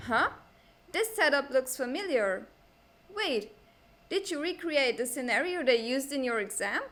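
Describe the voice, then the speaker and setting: A man's voice: very high voice, animated, unhurried, fairly bright tone, full character voice. An actor playing an earnest, frustrated teenage boy at a military academy in a comic fan dub. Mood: cheeky, animated.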